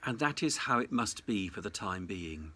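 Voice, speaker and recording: A man, a cathedral dean, giving a reflective Easter message in measured, deliberate phrases. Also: fairly low pitch, expressive, bright, forceful tone.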